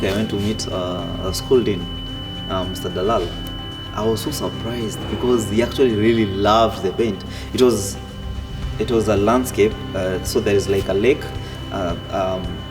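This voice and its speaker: A man, telling his own story in a relaxed way with many fillers and restarts. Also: lowish voice. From Kenya. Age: 30s. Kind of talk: casual personal storytelling. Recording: distant mic with music behind.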